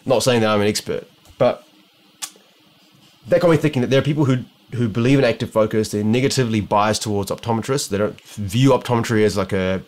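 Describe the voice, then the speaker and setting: A man's voice: lowish voice, fairly quick. A young man with a New Zealand accent, an optometrist talking to the camera from his living room in a casual vlog, musing and rambling with many restarts. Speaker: optometrist vlogger. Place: home living room, indoors.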